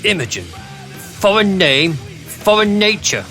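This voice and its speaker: A man's voice: high voice, sing-song, slow pace, loud music behind the voice, heavily acted. An actor playing a cruel, mocking, commanding male psychopath in a radio drama. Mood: menacing, taunting. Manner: taunting, sneering, commanding. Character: cruel, mocking, superior male psychopath running a torture experiment.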